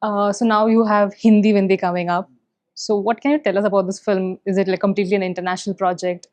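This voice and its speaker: Woman host, mid-pitch, measured interview questions. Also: flat delivery, darkish tone.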